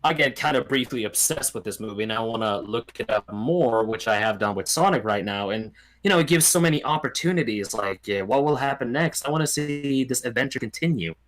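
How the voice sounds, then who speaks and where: A man chats informally as a podcast guest. His voice sits at a middle pitch and moves up and down with animated intonation. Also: a little fast, few pauses, rough recording. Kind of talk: casual chat about movies.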